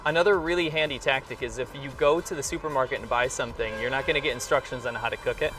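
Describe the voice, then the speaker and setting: A man's voice: mid-range voice, fast, sharp tone, distant mic, soft background music, low-quality recording. A man with an American accent hosting a casual vlog, speaking in an enthusiastic, emphatic way. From rural New York, United States. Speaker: vlog host. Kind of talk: casual vlog talk to camera.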